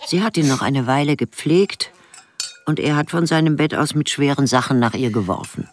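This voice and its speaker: An actress playing a plainspoken, wry old village woman in a radio drama, with a deep, often hushed and breathy voice. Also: normal speed, a little hiss or hum, close to an everyday voice. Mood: wry, matter-of-fact. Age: older. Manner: matter-of-fact, dryly blunt. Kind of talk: radio drama first-person narration and dialogue.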